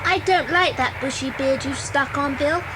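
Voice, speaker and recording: A woman's voice: normal speed, close to an everyday voice. A woman playing an anxious, affectionate young British girl in a children's audio drama. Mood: worried. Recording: noisy cassette with vehicle rumble.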